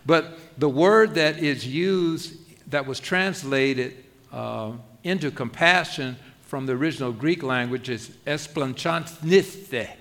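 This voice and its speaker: A man's voice: a little high, slow pace, fairly bright tone. A man preaching a sermon as pastor, conversational and self-deprecating, often asking the congregation rhetorical questions.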